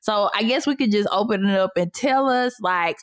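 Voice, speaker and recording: A woman's voice: mid-range voice, moderate pace. A woman with a Southern US accent co-hosting a podcast, folksy and playful, teasing her guest with Southern slang. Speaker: podcast co-host. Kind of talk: casual podcast interview.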